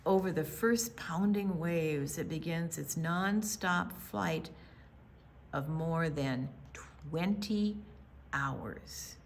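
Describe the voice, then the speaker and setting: An American woman reading a picture book aloud for young children, with dramatic pauses, hushed asides and emphatic delivery. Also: sits low, slight background noise. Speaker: children's storytime reader at a library.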